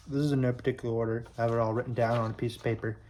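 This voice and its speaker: A man's voice: medium pitch, level tone, rapid-fire, a little mellow. A young man from Illinois chatting casually to camera, rambling with lots of fillers and restarts. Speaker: YouTube sports creator.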